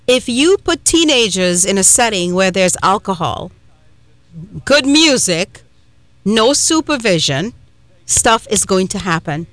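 A woman from Trinidad hosts a radio talk show in a chatty, emphatic way, often pausing on fillers. Her voice sits mid-range and sounds close and dry on a studio microphone. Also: medium pitch, fairly bright tone, no echo. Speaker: radio host.

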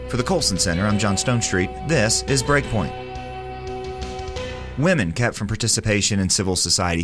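A man delivering scripted radio commentary in an earnest, steady narrating voice. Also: lowish voice.

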